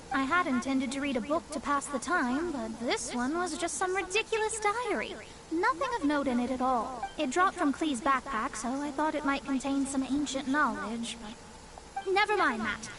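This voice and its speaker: A woman's voice: sits high, expressive, normal speed, a crowd in the background. A woman voicing a proud, prim young woman astrologer in a video game, who speaks in a haughty, formal and exacting way.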